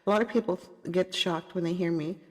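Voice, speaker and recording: A woman who grew up in Canada, with a husky voice, chatting casually and goofily as a guest on a livestream. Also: on the low side, fairly even intonation, fast. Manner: goofy, giggly, self-mocking.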